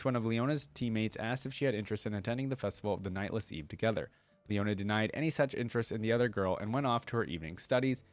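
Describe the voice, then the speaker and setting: A man's voice: on the low side, no echo. A man giving casual commentary and reading game lore aloud, explaining things and often checking in with the listener. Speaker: reaction video host.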